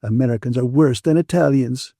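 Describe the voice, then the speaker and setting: A man's voice: medium pitch, brisk, gravelly, warm tone, no echo, clean studio sound. A man voicing a bitter, tearful woman in an audiobook, indignant, accusing and wounded. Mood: indignant, scornful. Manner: accusing, emphatic, aggrieved.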